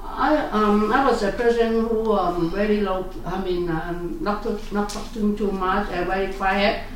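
A woman, answering interview questions in halting speech full of fillers and self-corrections. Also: mid-range voice, steady tone. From South Vietnam. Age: 60s. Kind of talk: oral history interview. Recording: echoey room, distant mic, faint noise.

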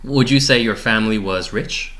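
A man's voice: lowish voice, moderate pace, fairly bright tone. A young man, a graduate student, conducting an oral history interview with polite, formal questions.

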